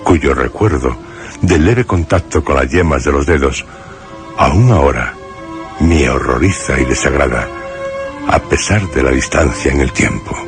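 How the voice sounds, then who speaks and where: An extremely low-voiced haunted man with a Castilian accent from Spain recounts his memories in a horror radio play. His delivery is slow, solemn and literary, broken by dramatic pauses. Music plays behind the brooding narration. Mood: disturbed, grave.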